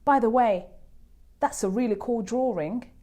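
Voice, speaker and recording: Woman acting a friendly, high-voiced schoolboy. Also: unhurried, mellow. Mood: apologetic, warm. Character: friendly, welcoming schoolboy, eager and kind.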